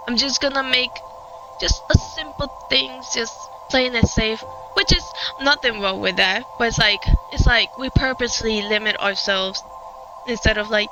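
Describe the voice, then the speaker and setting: A woman's voice: fairly high pitch, highs cut off. A young woman from Puerto Rico with a Puerto Rican Spanish accent, a native Spanish speaker, rambling casually through game commentary with lots of fillers. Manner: rambling, many fillers and restarts. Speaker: game streamer. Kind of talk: casual let's-play commentary. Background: game music.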